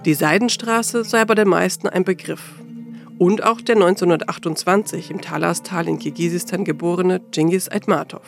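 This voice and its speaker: High-pitched, creaky-voiced male radio narrator. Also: fairly even intonation, normal speed, faint music behind. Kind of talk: scripted documentary narration.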